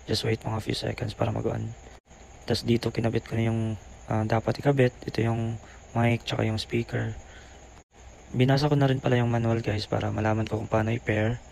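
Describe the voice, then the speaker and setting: A man's voice: medium pitch, little pitch movement, a little fast, vocal fry, long pauses, a little mellow, rough recording. A man with a Filipino accent gives a casual voice-over product review, keeping his voice down. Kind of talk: casual voice-over review mixing Tagalog and English. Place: boarding house room, voice-over. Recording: faint background noise.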